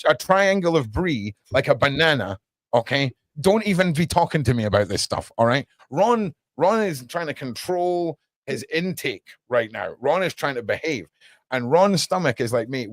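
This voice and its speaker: A man's voice: mid-range voice, big pitch swings, moderate pace, no room sound. A British man hosting a casual online panel, talking in a rambling, repetitive way and arguing jokingly. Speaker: host of an online panel show. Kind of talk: casual chat.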